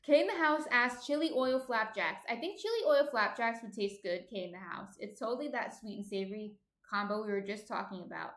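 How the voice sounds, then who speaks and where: A woman's voice: fairly high pitch, lively intonation, a little fast, hardly any pauses, fairly bright tone, roomy sound. A woman with a slight New Jersey accent hosts a baking livestream, reading viewer comments aloud and chatting as she cooks. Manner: chatty, thinks aloud while cooking.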